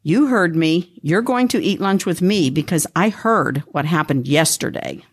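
A strict classroom teacher acted by a woman with a lowish, very animated voice. The teacher is brisk and attentive, heard in amateur radio theater. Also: breathy edge, heavily acted.

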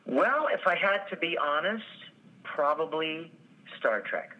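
An actor answering interview questions, telling his story in a relaxed way. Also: on the high side, a little slow, phone-quality sound. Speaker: actor guest.

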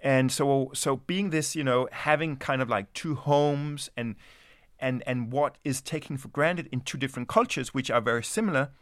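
A man's voice: medium pitch, normal speed, no room sound, clean studio sound. Thoughtful, hesitant male anthropologist. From Denmark. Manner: thoughtful, hesitant, many restarts. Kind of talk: reflective interview answers.

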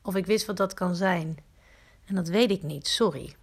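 A woman's voice: fairly low pitch, normal speed, vocal fry, husky. An actress playing a casual woman replying by voicemail in an audio drama. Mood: apologetic.

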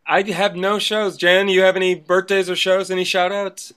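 A man's voice: high voice, flat delivery, moderate pace, fairly bright tone, clear, resonant tone. A man co-hosting a casual talk show, chatting in short questions and interjections. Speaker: podcast co-host.

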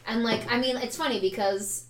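A woman from New York State, chatting excitedly on a podcast and jumping between tangents. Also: fairly high pitch, normal speed, echoey room, crowd noise. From New York State, outside the city. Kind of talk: casual chat.

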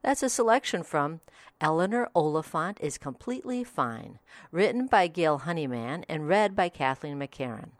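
A woman hosts a short review podcast from a script. Her voice sits low but moves with animated intonation. The sound is dry, with no room around it. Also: moderate pace, no room sound. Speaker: podcast host.

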